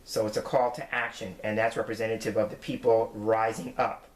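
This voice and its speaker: A man's voice: on the low side, flat delivery, a little fast. A man in his forties, a tarot reader, giving his weekly forecast in an earnest, reflective way as he explains each card. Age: 40s. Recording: roomy echo.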